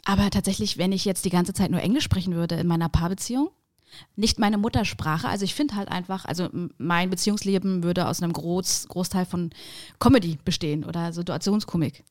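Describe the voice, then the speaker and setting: A young woman co-hosting a podcast, talking casually and searching for words with frequent pauses. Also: lowish voice, darkish tone. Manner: hesitant, many fillers and restarts. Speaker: podcast co-host. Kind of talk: casual chat.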